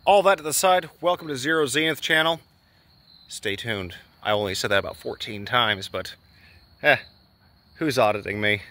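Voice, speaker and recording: A man's voice: medium pitch, moderate pace, bright, forceful tone. A man in his thirties hosting a casual vlog, rambling and joking at his own expense as he films himself with a handheld camera, indoors and out. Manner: rambling, self-deprecating, filler-heavy. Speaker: channel host. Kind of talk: casual vlog walk-and-talk. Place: abandoned building halls and outdoor grounds. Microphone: handheld camera held close, low angle.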